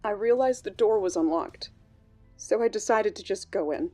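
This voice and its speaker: Female actor playing troubled witness: average pitch, uneasy and hesitant, documentary-style audio drama, music underneath. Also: normal speed, dark tone, close to an everyday voice. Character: worried female bank colleague recalling a troubling friend. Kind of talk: audio drama interview testimony. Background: background music.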